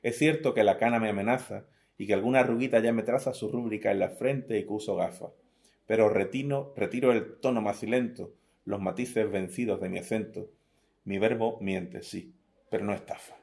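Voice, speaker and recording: Man, Andalusian accent, middle-aged, low voice, self-mocking poet reading sonnets. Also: steady tone, a little fast, vocal fry, darkish tone. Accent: Andalusian Spanish. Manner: self-deprecating, joking, restarts words often. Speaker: poet, interview guest. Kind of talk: casual interview, reading his sonnets.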